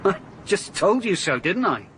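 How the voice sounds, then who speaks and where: An actor playing a surly, touchy caretaker in a radio drama, curt, defensive and grudging. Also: male voice, high-pitched, sing-song, a little slow, sharp tone, a little hiss or hum, exaggerated voice.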